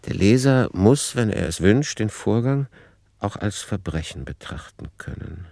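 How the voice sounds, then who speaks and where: An author deliberating over a manuscript in a radio drama. The voice is deep and sits in a dry, close acoustic. The delivery is sober, analytical and essay-like. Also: male voice, animated, a little slow, vocal fry, a little mellow, no room sound. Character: analytical male author reasoning aloud over his novel plot. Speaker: author's working-notes voice in a radio play. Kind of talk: essayistic scripted commentary in a radio play.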